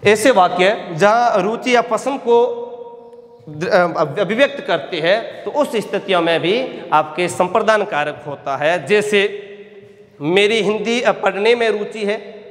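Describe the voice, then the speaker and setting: A male teacher giving a grammar lesson, dictating examples slowly, repeating phrases and answering his own questions. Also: high-pitched, animated. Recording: echoey room with long reverb.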